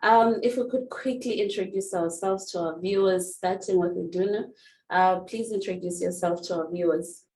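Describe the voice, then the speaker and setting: A woman's voice: fairly low pitch, moderate pace, a little mellow. A woman hosting an online panel discussion, upbeat and enthusiastic as she introduces guests. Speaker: host. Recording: echoey room, faint background noise.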